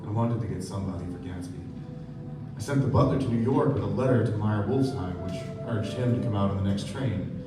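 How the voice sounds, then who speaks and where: A male actor plays an earnest, sombre narrator in a stage radio play. The voice is fairly low and literary in delivery. It is heard from a distance with heavy echo and loud music behind. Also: fairly quick, lightly acted. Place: live stage performance.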